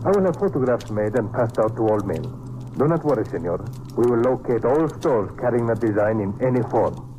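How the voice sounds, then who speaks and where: A man's voice: medium pitch, expressive, lightly acted. Formal Mexican police inspector played by a man, noisy recording. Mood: courteous, cautious. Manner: formal, measured, no contractions. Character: courteous, cautious Mexican male police inspector. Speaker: supporting actor as police inspector. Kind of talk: old-time radio detective drama. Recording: noisy vintage radio recording.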